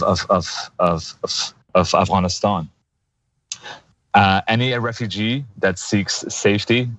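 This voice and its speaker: Earnest man in his thirties, deep voice. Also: highs cut off. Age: 30s. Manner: earnest, halting with many fillers and restarts. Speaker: guest activist. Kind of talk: interview answers.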